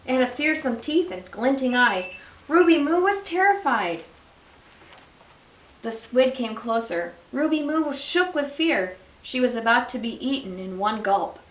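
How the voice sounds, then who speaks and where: A woman reading a children's storybook aloud as a library story time host, with expressive, deliberate phrasing for young listeners. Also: high voice, slight background noise. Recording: roomy echo, distant microphone.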